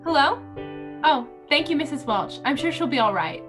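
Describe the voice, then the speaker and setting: A woman playing a gentle, worried wife and mother in a radio play, soothing and concerned. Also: sits high, animated, moderate pace, music in the background. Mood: anxious. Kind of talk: radio drama acting.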